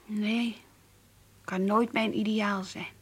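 An actress playing an earnest, devout, brooding schoolgirl in a radio drama, confiding intensely in a husky, breathy voice. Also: medium pitch, fairly even intonation, slow. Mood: wistful. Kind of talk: radio drama acting with diary-like narration.

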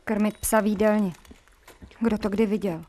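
An actress playing a sharp-tongued household servant in a radio play, grumbling and scolding in colloquial speech. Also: female voice, mid-range voice, steady tone, close to an everyday voice.

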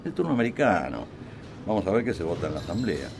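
A man's voice: low voice, very animated, quick pace, gravelly, background noise, full character voice. A man with a Rioplatense Argentine accent acting a streetwise, brotherly union delegate in a radio drama, slangy and insistent. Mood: militant, urgent. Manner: repeats himself insistently, slangy.

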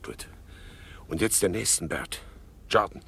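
A man's voice: sits low, animated, measured pace, gravelly, over loud music, exaggerated voice. An actor playing a genial, crafty male gang boss in a 1970s radio crime comedy. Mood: commanding.

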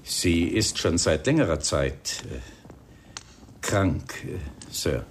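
A man playing a polite, persistent police inspector in a radio crime drama, speaking in a formal, measured way. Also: low voice, lively intonation, slight background noise. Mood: grave, reproachful. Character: polite, persistent male police inspector breaking grim news. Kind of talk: radio play acting.

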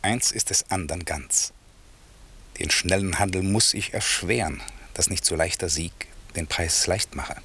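A man's voice: sits low, a little slow, pushed and bright, slightly rough, heavily acted. An actor playing a stern middle-aged sorcerer father in a classic radio drama, imperious and scolding, with theatrical delivery. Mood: stern, accusing. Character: stern, commanding sorcerer father, lord of an island. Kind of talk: classic German radio drama verse acting.

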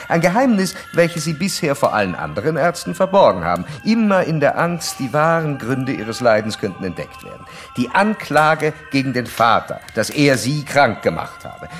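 An actor playing a self-assured male psychoanalyst in a German radio play, didactic and pressing as he questions a patient. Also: medium pitch. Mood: insistent, accusing.

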